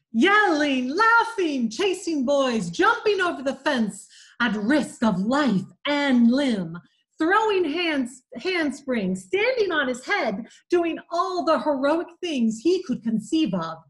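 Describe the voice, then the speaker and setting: A woman reading a classic novel aloud, slow and expressive, giving the characters voices. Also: high voice. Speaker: community reading program volunteer reader.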